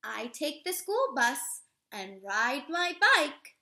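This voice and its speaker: A woman in her thirties, a teacher reading a picture book aloud to young children in an expressive, instructive way. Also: high-pitched, slow. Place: living room at home, indoors.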